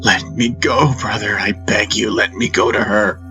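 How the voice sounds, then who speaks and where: A man's voice: on the low side, steady tone, normal speed, natural voice. A man voicing an anguished young warrior who pleads desperately, in an audiobook drama over music. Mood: begging. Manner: wailing, impassioned pleading.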